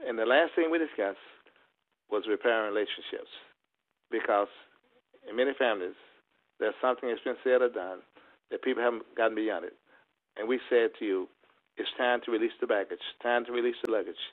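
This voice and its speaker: A man giving a motivational talk in a preacher-like style, with emphatic, deliberate phrasing and repeated refrains. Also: on the low side. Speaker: motivational speaker. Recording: muffled lo-fi conference-call audio.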